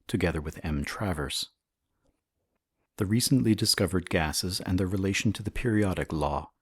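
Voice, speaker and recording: A man with a husky voice reads a historical science text as formal, measured audiobook narration. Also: very deep voice, dry recording, studio quality. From Canada. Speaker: audiobook reader.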